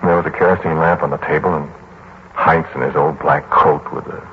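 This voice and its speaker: An actor playing a pensive, polite student lodger in a 1940s radio drama, speaking in a measured, brooding way. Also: male voice, rumbling low, steady tone, a little hiss or hum. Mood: brooding, hesitant. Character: pensive male student lodger recounting an eerie memory. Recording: tinny, band-limited 1940s radio recording with music.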